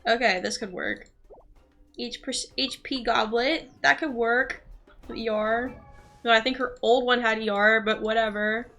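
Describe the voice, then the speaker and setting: A woman with a very bright, fairly high voice chats through her game on a livestream. She rambles and thinks aloud, excitable and quick to giggle, with soft music underneath. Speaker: livestreamer playing a role-playing game. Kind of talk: casual live gaming stream commentary.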